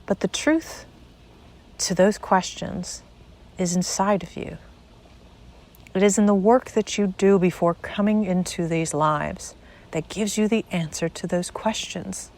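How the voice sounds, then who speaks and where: A woman narrating a spiritual guided meditation in a measured, deliberate way, pausing between short phrases. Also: mid-range voice, darkish tone.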